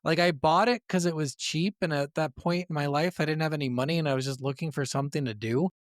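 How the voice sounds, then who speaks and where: A man's voice: a little high, quick pace, no room sound, clean studio sound. A man chatting casually as a podcast co-host about games, swearing freely as he goes. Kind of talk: casual gaming podcast chat.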